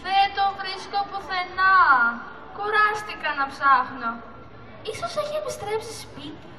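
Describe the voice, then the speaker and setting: A woman's voice: very high-pitched, lively intonation, a crowd in the background. A teenage girl playing an anxious, loyal young sea turtle in an amateur school puppet show, with earnest theatrical delivery. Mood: worried. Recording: echoey hall, music and audience noise.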